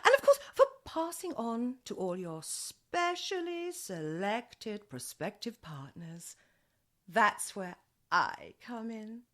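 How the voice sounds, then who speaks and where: A woman's voice: on the high side, very animated, takes it slowly, fairly bright tone, full character voice. An actress in a radio comedy play as a gushing, evasive, put-upon British woman running a dating agency, with theatrical, affected politeness. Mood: breezy salesmanship.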